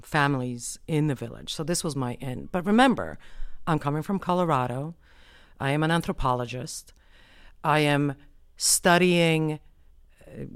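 A woman anthropologist from the United States, answering interview questions in a measured, explanatory way with frequent pauses. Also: rumbling low, smooth, no echo, studio quality. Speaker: anthropologist guest.

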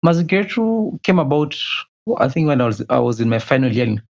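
A man answers an interviewer's questions in a mid-pitched voice. He speaks hesitantly and often repeats a word while recalling events. His audio sounds slightly muffled and lo-fi. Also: big pitch swings, fast, creaky, darkish tone. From Kenya. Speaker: guest.

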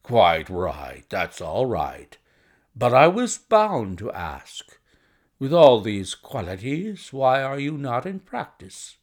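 A man voicing a blunt, bustling gentleman investor in an audiobook, with abrupt, emphatic phrasing and stretched, stressed words. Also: mid-range voice, wildly swooping pitch, slow, exaggerated voice. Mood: blunt, probing. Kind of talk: audiobook character voice.

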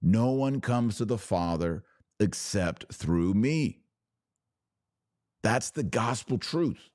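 Deep-voiced man preaching emphatically. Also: expressive, darkish tone, dry recording, clean studio sound. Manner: emphatic, declarative, deliberate pauses and repetition. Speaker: religious podcast host. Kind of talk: preaching monologue.

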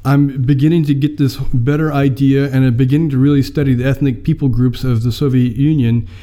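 An American man in his fifties, telling how he came to mission work in a measured, filler-laden interview. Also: mid-range voice, warm tone. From United States. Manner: measured storytelling with many fillers. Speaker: mission mobilizer, guest. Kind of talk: personal testimony in an interview.

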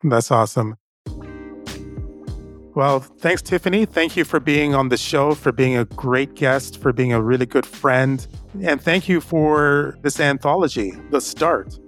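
Mid-pitched male podcast host. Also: a little slow, a bit bright, faint music behind. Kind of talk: podcast hosting and scripted outro.

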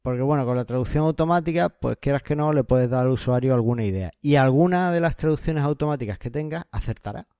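A man's voice: mid-range voice, quick pace, few pauses, mellow, clean tone, dry recording, clean studio sound. A man from co-hosting a podcast, explaining and advising listeners in casual chat. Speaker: podcast co-host.

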